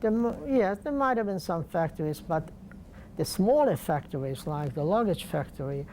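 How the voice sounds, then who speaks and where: An older man from Poland, speaking slowly and deliberately with pauses in an oral history interview. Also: high-pitched, expressive, darkish tone, a little hiss or hum. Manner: slow, deliberate, halting with pauses.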